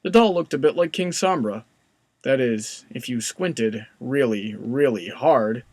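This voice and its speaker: A man's voice: medium pitch, unhurried, darkish tone, no room sound. A man reading scripted story narration as the narrator.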